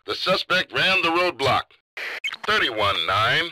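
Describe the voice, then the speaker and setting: A man playing an urgent highway patrol officer in a video game, calling in with clipped phrases over police radio. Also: big pitch swings, slow pace, background noise.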